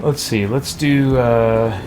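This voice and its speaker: A man's voice: mid-range voice, slow, background noise. A man giving casual video game commentary, thinking aloud and musing to himself with mild exclamations. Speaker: let's play host.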